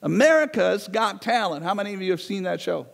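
A man in his forties preaching a sermon in a conversational way, his voice going hoarse as he paces the stage of a large church. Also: high voice, animated, brisk. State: losing his voice. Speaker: pastor. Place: large church sanctuary, indoors. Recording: long reverb of a church hall.

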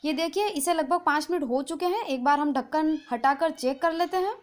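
A woman hosting a cooking channel, giving a calm, patient, step-by-step recipe voice-over. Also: high voice, fairly quick. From India.

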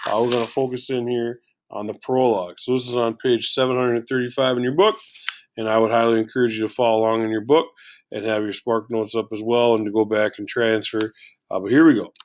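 A man with a low voice teaching a recorded lesson through a shared screen, casual and full of filler words. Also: fairly even intonation, a little fast, darkish tone.

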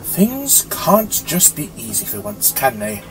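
A man's voice: medium pitch, huge pitch swings, takes it slowly, music in the background, poor audio. A man voicing an eccentric, wry time-travelling alien in a theatrical, exclamatory way for an audiobook. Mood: grumbling. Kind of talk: audiobook character voice.

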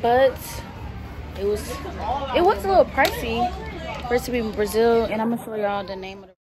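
A young woman vlogging on a trip, talking straight to her viewers in a slangy, chatty way, her voice still a bit hoarse. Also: fairly high pitch, a little fast, crowd noise. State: recovering hoarse voice. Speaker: travel vlogger. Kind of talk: casual vlog talk to camera.

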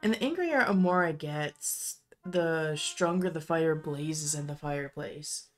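A woman's voice: deep voice, animated, a little slow. A woman narrating a fantasy audio drama in a storytelling style. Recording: music bed under voice.

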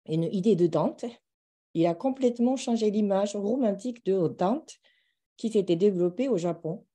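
A woman's voice: mid-range voice, mellow. A middle-aged woman giving a formal, scripted introduction as a seminar moderator on a video call.

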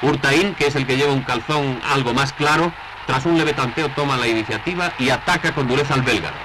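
A formal male newsreel narrator from the 1960s with a Castilian accent. He declaims his script in a mid-pitched voice over a clearly noisy vintage soundtrack. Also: a little fast. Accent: Castilian Spanish.

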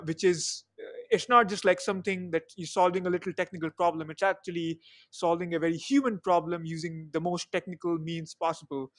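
A young man hosting a podcast over a video call, chatty and full of "you know" fillers, on a headset mic. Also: sits high. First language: not English. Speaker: podcast host. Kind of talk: casual interview chat. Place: small room at home on a video call. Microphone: headset microphone.

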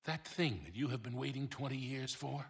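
An actor playing a wry, impatient American mentor in his sixties with a gravelly voice, in a video game scene. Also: mid-range voice, moderate pace, close to an everyday voice. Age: 60s. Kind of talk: video game cutscene acting.

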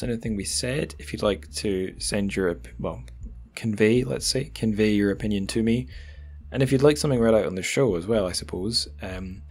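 A man hosting a podcast, talking casually in a conversational, self-deprecating way. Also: lowish voice. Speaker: podcast host.

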